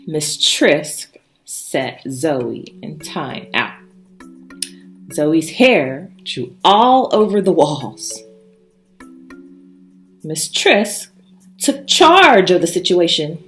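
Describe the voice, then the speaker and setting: A woman reading a children's story aloud in an expressive, emphatic way, pausing for drama between short phrases. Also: low-pitched. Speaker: storybook reader. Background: background music.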